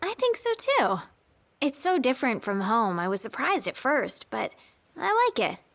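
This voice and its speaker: Friendly, teasing teenage girl for a game cutscene, bright-spirited with animated, higher pitch. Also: moderate pace, vocal fry, heavily acted. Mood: playful, upbeat. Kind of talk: video game cutscene acting.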